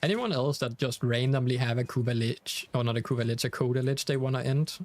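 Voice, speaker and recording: A man's voice: mid-range voice, a little fast, no room sound. Danish-accented man streaming a game. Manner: chatty, many fillers and restarts, reads chat aloud. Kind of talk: casual live stream chat.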